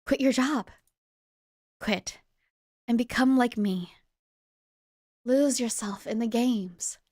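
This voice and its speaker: A woman voicing a glitchy, possessive video game girl who taunts and coaxes in a slow, drawn-out way. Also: on the high side, a little mellow, no echo, clean studio sound. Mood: coaxing.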